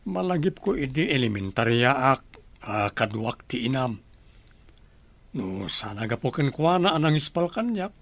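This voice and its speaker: A man's voice: medium pitch, very animated, moderate pace, no room sound. A man playing a kindly, joking middle-aged village uncle in an Ilocano radio drama, speaking in a folksy, conversational way. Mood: wistful, confiding. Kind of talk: Filipino radio comedy-drama acting.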